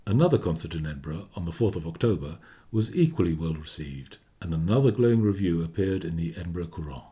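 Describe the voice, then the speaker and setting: A man delivering scripted documentary narration in a measured, formal manner. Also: low voice, expressive, mellow.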